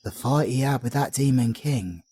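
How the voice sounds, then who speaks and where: A man's voice: medium pitch, moderate pace, warm tone, slightly rough, close to an everyday voice. A British man voicing a cheerful, chatty male bard in an audio roleplay, doting and slangy as he talks. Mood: grave.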